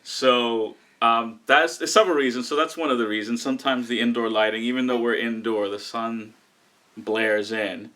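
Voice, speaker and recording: A man's voice: medium pitch, steady tone, normal speed, pushed and bright. A young American man co-hosting a casual live stream and teasing his partner. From United States. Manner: teasing, joking. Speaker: co-host.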